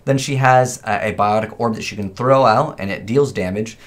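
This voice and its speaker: Male gaming host, lowish voice, loose and chatty, thinks aloud. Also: moderate pace. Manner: informal, rambling, thinking aloud. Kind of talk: casual gameplay commentary.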